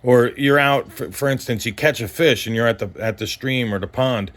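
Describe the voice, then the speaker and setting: A man's voice: mid-range voice, normal speed, a bit bright. A man in his forties giving a casual, unscripted gear review as host, in a rambling conversational way that trails off mid-thought. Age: 40s.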